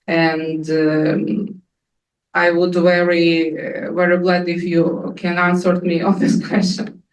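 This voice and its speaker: Hesitant Ukrainian woman, slow, far-off. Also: lowish voice, completely flat delivery. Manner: hesitant, searching for English words, many fillers. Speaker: audience member asking a question. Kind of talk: halting question from the floor. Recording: echoey room, speaker far from mic.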